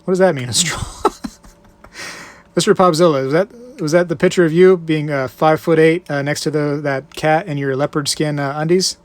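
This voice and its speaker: A man with a slightly high-pitched voice hosts a relaxed live stream from home. He reads viewer comments aloud, jokes about them and rambles, chuckling between remarks. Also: normal speed. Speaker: livestream host.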